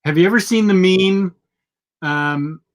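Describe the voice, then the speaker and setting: A middle-aged man hosts a live show while sick, his voice gone gravelly. He sits fairly high in pitch for a man and rambles through casual chat, correcting himself as he goes. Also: a little slow. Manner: rambling, self-correcting, chatty. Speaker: podcast host.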